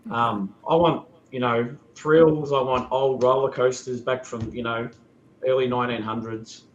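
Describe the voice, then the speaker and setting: A man from Australia, a podcast guest with a sore throat and a croaky voice, chatting in an easygoing way with lots of ums. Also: medium pitch, steady tone, a little slow, echoey room, dull audio. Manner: easygoing, hesitant with many ums. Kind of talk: casual podcast chat.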